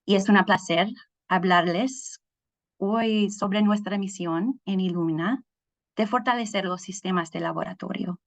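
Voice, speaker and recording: A woman scientist giving a webinar talk in careful, halting non-native Spanish over a video call. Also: fairly low pitch. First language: English. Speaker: guest speaker, scientist. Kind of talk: webinar presentation.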